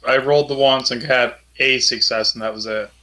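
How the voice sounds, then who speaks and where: A man's voice: mid-range voice, fairly even intonation, brisk, pushed and bright. A man playing a tabletop role-playing game, talking casually about what his character will do.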